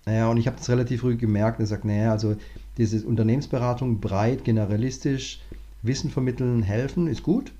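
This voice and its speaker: Male consultant guest, mellow tone, halting and thoughtful, slightly muffled recording. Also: medium pitch, steady tone. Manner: thoughtful, many fillers and restarts. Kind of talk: relaxed interview conversation. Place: lives in Cape Town, remote interview.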